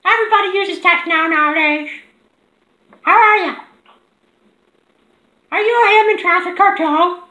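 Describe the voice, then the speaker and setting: A man acts out a ditzy young woman in an extremely high, bright falsetto. She pesters with mock-innocent questions on a prank phone call, repeating herself in an echoing room. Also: normal speed, pauses often, exaggerated voice. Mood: mock-friendly. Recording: roomy echoing indoor recording.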